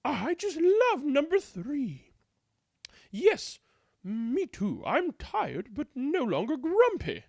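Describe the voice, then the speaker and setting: A reader voicing tired, dreamy villagers, gushing with drawn-out sighs. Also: high-pitched, wildly swooping pitch, vocal fry, a little mellow, no room sound, studio quality, pitched above the performer's own voice, heavily acted. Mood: dreamy delight.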